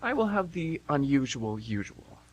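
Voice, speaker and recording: A man's voice: fairly high pitch, very animated, normal speed. A man playing a nervous, kindly, awkward young man on a date in an amateur radio play, hesitant and earnest. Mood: curious, game. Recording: hissy, humming amateur radio broadcast.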